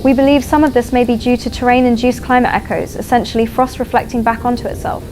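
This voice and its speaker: A fairly high female voice of an actress plays a troubled scientist briefing a news audience. She is sober and explanatory, with clear ambient noise in the recording. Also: steady tone, fast talker, lightly acted. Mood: grave, troubled. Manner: grave, explanatory. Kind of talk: expert briefing on news broadcast.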